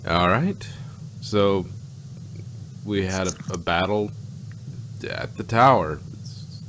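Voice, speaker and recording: Male podcast co-host, lowish voice, casual and halting, rambles through a review over clear background noise. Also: fairly bright tone. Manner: rambling, halting, acts out imagined reactions. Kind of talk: casual unscripted review chat.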